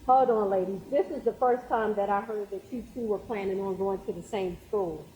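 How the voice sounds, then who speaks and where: A woman's voice: mid-range voice, moderate pace, mellow, natural voice. Woman playing a firm school coach, echoey. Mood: firm, advising. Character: caring, firm female high school basketball coach. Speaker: stage actor. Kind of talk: stage play acting. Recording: very echoey, noticeable background noise.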